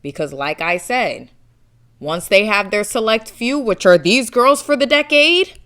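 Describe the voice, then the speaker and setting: A woman's voice: mid-range voice, lively intonation, measured pace, a bit bright. A young woman from the United States hosting her own show, giving blunt, confident commentary straight to camera. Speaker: show host.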